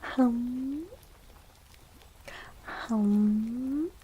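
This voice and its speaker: A woman livestreaming soft-spoken ASMR chat in a playful, unhurried way, with a breathy, often whispery voice close to the mic. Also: a little high, muffled recording. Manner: playful, unhurried, repeats phrases. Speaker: livestream host.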